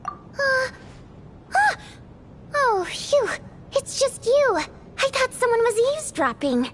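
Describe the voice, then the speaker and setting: A woman acting an excitable, chatty young woman in English video game dialogue. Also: very high-pitched, slow pace, slight background noise, heavily acted. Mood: startled, excited. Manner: animated, talkative, exclamatory.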